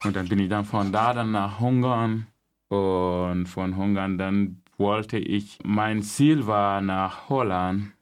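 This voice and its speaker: Deep, flat-voiced man. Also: smooth. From Gambia. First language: not German. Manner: halting non-native German, many restarts and fillers. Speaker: guest. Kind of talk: casual interview.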